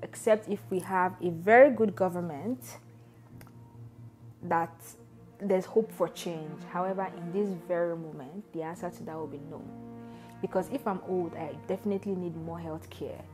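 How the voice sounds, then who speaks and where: A woman giving emphatic, animated interview answers. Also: lowish voice, normal speed. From Nigeria. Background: faint music.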